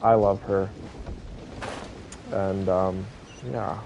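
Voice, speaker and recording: A man's voice: deep voice, level tone, drawn-out words, vocal fry, noisy background. A man giving casual, rambling commentary on a video game playthrough, often hesitating as he reacts to it. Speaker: gaming streamer. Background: game music.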